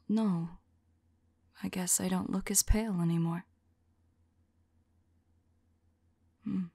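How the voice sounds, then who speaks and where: A woman performs as a devoted female vampire in an ASMR audio drama. She speaks in slow, breathy whispers with a faint creak, tender and anxious, pausing as she muses to her beloved. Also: medium pitch, no room sound. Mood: bewildered, flustered. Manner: halting, soft, musing to a loved one.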